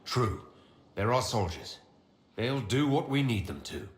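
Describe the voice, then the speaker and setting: A man's voice: medium pitch, lively intonation, unhurried, roomy sound, lightly acted. An actor playing a resolute, courteous male leader in a video game, speaking in a composed and formal way. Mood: firm. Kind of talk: scripted video game dialogue.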